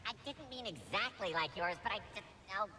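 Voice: mid-range voice, lively intonation, fairly quick, bright, forceful tone, slight background noise. An actor voicing a hapless, insecure battle droid in a video game, nasal and whiny through a robot filter. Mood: petulant, chatty. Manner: whiny, petulant. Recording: faint game ambience behind.

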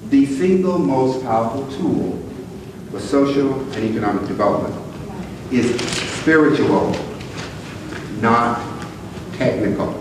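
A man's voice: mid-range voice, a little slow, long pauses. A man giving an emphatic public talk in a meeting room. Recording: very echoey, distant, noisy room.

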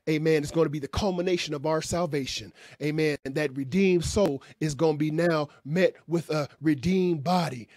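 A man's voice: fairly high pitch, darkish tone, dry recording, clean studio sound. A man in his fifties preaching at a Bible class, emphatic and folksy, dropping amens and hallelujahs between lines. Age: 50s. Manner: emphatic call-and-response preaching with frequent amens; folksy, conversational, gestures with hands. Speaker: pastor. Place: small indoor room with curtain backdrop. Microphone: stand mic in front.

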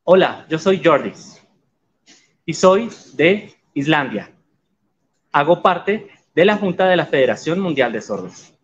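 A man voicing guests' introductions in live interpretation, even and measured. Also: a little high, slightly rough. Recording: slightly muffled audio.

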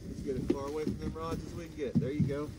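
A man's voice: fairly high pitch, rapid-fire. A man working as a fishing guide, patiently coaching a client through landing a fish on a boat. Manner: calm, patient instructions, folksy phrasing. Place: open boat on a windy lake. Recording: loud wind and boat noise.

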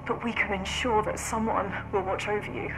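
A woman's voice: mid-range voice, steady tone, normal speed, background noise, natural voice. A woman playing a tender regretful mother. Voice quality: soft, emotional. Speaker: mother in recorded message. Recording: video message under loud score.

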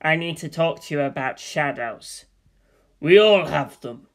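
A man giving a deadpan comedic script reading, flatly reciting the stage directions and character names. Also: fairly high pitch, a little slow. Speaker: script reader.

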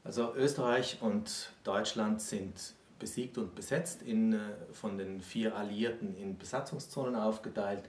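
A man in his forties, a historian explaining in a measured, factual, academic way during a conversation. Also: on the low side, a little mellow. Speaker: historian, regular guest expert. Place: small book-lined room, indoors. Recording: roomy sound, distant microphone.

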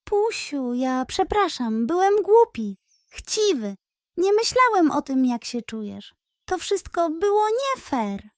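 A woman's voice: extremely high pitch, big pitch swings, slow, no echo, clean studio sound, voice pushed up, heavily acted. A woman voicing a greedy, sly, self-important male pug in a children's storybook. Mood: hurt, apologetic. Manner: pompous and nonchalant, turning plaintive.

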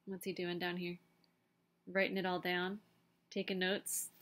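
A woman in her thirties reading a picture book aloud to children, with quiet explanatory asides. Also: lowish voice, completely flat delivery, unhurried, slight background noise. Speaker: storybook reader. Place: small indoor room by a window.

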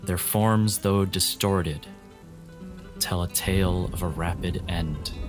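Male game master, very low register, ominous atmospheric narration over prominent music. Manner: deliberate, dramatic, ominous storytelling. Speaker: game master of a role-playing podcast.

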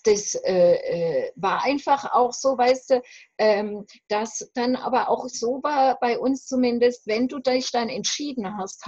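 A woman in her fifties speaks as an interview guest on a video call. Her voice is husky and fairly high, with an emphatic, halting delivery. The sound is muffled and dry. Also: warm tone, dry recording. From West Germany. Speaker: separation coach, interview guest. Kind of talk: reflective interview conversation. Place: room at home. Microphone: video call, computer microphone.

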